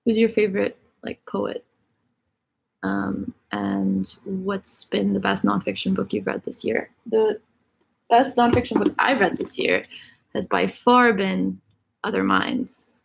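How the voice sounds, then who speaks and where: Woman host, Egyptian Arabic first language, loose chatty live-stream delivery, gravelly and a bit husky. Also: medium pitch, lively intonation, slow pace, low-quality recording. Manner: chatty, filler-heavy, reads questions aloud. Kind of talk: casual live-stream chat reading viewer comments.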